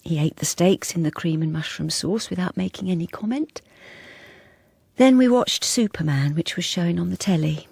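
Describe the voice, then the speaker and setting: An actress playing a timid, self-effacing, lonely British wife in a radio drama, confiding in a plain, hesitant, apologetic way. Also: female voice, fairly low pitch, normal speed, vocal fry, darkish tone, slightly rough, dry recording. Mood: wistful confiding. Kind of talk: radio drama with intimate first-person narration.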